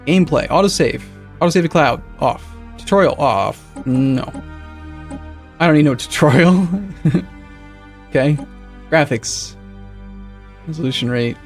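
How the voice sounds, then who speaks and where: Streamer type: young man, slightly high voice, loose rambling chat, faint music underneath. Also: expressive, unhurried, long pauses. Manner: rambling, self-correcting, reads and answers chat. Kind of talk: casual live-stream chatter while setting up a game.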